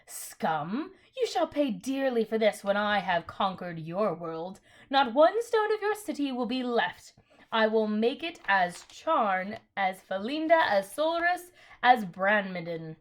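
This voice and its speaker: A woman's voice: a little high, sing-song. A woman voicing a ringing, haughty witch queen. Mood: menacing triumph. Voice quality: clear, ringing, commanding. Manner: scornful, threatening, slow and grand.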